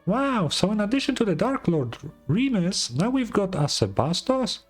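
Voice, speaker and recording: Game casting: man as little female fairy sidekick, squeaky-high, excitable, talkative, quick to exclaim. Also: slightly rough, faint music behind, exaggerated voice. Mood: puzzled. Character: small chatty female fairy companion, excitable and suspicious. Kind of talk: game dialogue read in character.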